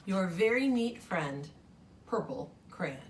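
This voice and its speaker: A woman's voice: lowish voice, animated, slow, slight background noise. A woman reading as a fussy purple crayon. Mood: exasperated. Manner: exasperated, fussy.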